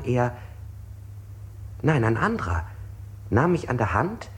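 An actor playing an anxious interrogated man in a 1960s radio play, recounting precisely and deliberately. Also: mid-range voice, close to an everyday voice. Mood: agitated, self-correcting. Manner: deliberate, self-correcting, precise.

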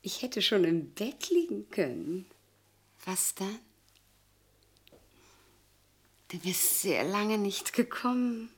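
A woman's voice: mid-range voice, big pitch swings, slow, breathy edge, full character voice. A woman in a German radio play, playing a vain ageing lady of the house with a theatrical, wistful, coaxing manner. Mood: wistful, needy.

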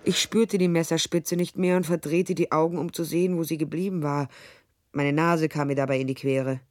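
A woman's voice: low voice, steady tone, moderate pace, a little mellow, natural voice. Actress as a dry, hard-boiled female private eye. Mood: tense, dry narration. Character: tough sardonic female private detective narrating her case. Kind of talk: radio drama dialogue and first-person narration.

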